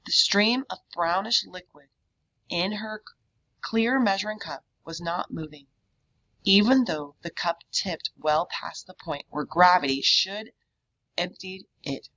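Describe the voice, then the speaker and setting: A woman reading a children's novel aloud in an expressive storybook narration style, on a dull, lo-fi recording. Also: on the low side, measured pace. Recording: dull, lo-fi sound.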